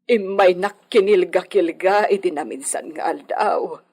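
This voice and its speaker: A woman playing a worried village mother with a husky voice and an Ilocano accent in a radio drama. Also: lowish voice, measured pace, a little mellow.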